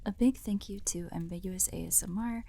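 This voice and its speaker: A woman's voice: mid-range voice, a little fast, vocal fry, warm tone. A woman who creates audio roleplays, chatting casually to thank her listeners.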